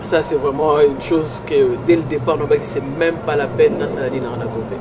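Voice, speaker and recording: A middle-aged woman from Congo with a Congolese French accent and a slight North American tinge, talking animatedly and switching between French and Lingala in a street interview. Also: brisk. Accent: Congolese French with a slight North American English tinge. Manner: animated, emphatic, pitch jumps high when insisting. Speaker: pageant runner-up interviewed about her project. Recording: loud street noise with passing vehicles. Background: traffic and street noise.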